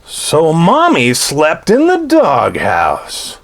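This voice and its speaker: Very animated, slow-paced male storyteller. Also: a little high, gravelly. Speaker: storybook reader for children. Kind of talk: children's read-aloud storytelling.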